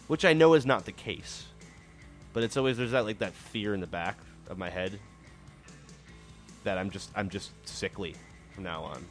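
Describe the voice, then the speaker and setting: Male host, a bit low, phlegmy from a cold, meandering easygoing chat. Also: normal speed, long pauses, soft background music. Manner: rambling, hesitant restarts. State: phlegmy, getting over a cold. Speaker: podcast host. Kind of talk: casual chat.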